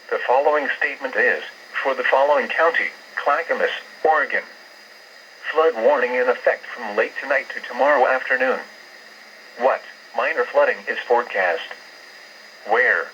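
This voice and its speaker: A synthetic male voice with an American accent, reading formal weather alert bulletins in a measured, impersonal manner over a weather radio broadcast. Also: medium pitch, sharp tone. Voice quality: synthetic text-to-speech voice. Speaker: automated weather radio announcer. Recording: narrowband hissy weather radio reception. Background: faint radio hiss.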